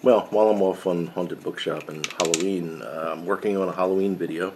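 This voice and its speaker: Middle-aged man, very low voice, rambling casual workshop chat, faint hum behind. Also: fairly even intonation, brisk. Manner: rambling and self-correcting, with frequent fillers. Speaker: pipe-smoking woodworking channel host. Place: home workshop with pegboard of tools.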